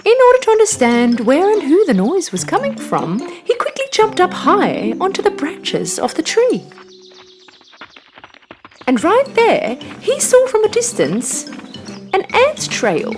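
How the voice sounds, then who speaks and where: Woman, children's story narrator, high pitch, hugely expressive intonation, music behind. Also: unhurried. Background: background music.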